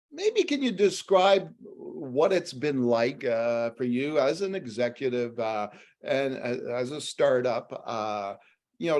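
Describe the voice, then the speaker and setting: A man's voice: mid-range voice, a little mellow. Hesitant male interviewer. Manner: hesitant, many fillers. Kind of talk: business interview questions.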